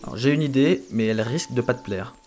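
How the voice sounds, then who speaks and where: A man playing an aloof, calculating young potion student in an amateur fantasy audio drama, with a terse, matter-of-fact, deadpan delivery. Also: mid-range voice, fast talker, natural voice. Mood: wary, calculating.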